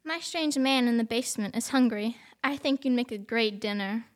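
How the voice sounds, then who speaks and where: A woman's voice: a little high, normal speed, natural voice. A young girl playing a polite, secretly sinister mansion hostess in an amateur radio play. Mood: calmly sinister. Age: child or teenager.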